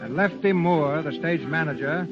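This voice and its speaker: A man's voice: fairly high pitch, normal speed. A man giving scripted narration for a 1930s radio drama. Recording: muffled old radio broadcast with music behind.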